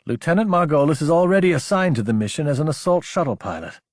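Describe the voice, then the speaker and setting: A man voicing a stern, unflappable older female military commander in an audiobook, dry, deliberate and matter-of-fact. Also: a little high, very animated, a little mellow, no room sound, voice pushed up.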